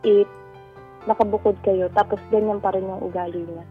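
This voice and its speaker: A woman's voice: medium pitch, fairly even intonation, a little fast. A Filipino woman calling a radio advice show, telling her personal story conversationally in a polite, deferential way. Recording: dull lo-fi call audio over music.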